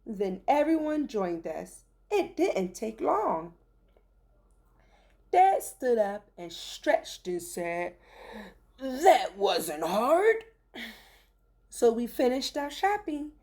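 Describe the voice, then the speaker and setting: Slow, lively woman storyteller. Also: fairly high pitch, gravelly. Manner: theatrical, deliberate phrasing. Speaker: storyteller, teacher reading aloud. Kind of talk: expressive rhyming children's story read-aloud.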